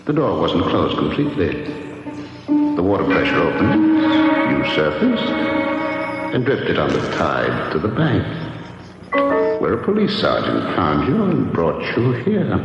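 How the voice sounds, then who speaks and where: An actor playing a sinister otherworldly bargainer in a radio drama, speaking in a slow, mocking, theatrical way. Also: male voice, sits low, wildly swooping pitch, exaggerated voice. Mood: smug menace. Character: sinister otherworldly bargainer claiming a dead man's soul. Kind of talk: 1970s radio drama acting. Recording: cavernous reverb, dull old radio, music.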